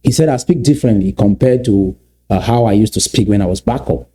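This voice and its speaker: Middle-aged man, Cameroonian English accent, warm low timbre, lecturing commentator who poses rhetorical questions. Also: brisk. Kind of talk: commentary on played clips.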